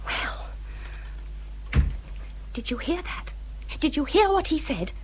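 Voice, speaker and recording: A woman's voice: high-pitched, sing-song, slow, a little hiss or hum, heavily acted. An actress playing a self-pitying young married woman in a period radio drama, with a genteel English accent and a fretful, aggrieved manner. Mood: self-pitying complaint.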